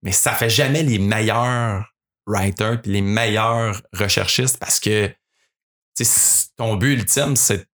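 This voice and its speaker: A man's voice: on the low side, slow, a bit bright. A young man with a Québécois accent, a radio writer chatting as a podcast guest, rambling with many fillers and restarts. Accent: Québécois French. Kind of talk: casual podcast chat.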